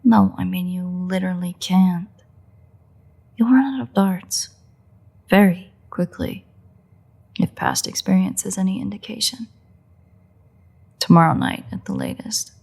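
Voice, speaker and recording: A sardonic female vampire played by a woman speaks close and intimately, as in a roleplay audio drama. Her voice is slightly low and breathy-husky, with plenty of gravelly creak. She is dry, teasing and mocking, with grudging exasperation and a crude edge. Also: big pitch swings, unhurried, long pauses, a little mellow, exaggerated voice. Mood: mocking, defiant.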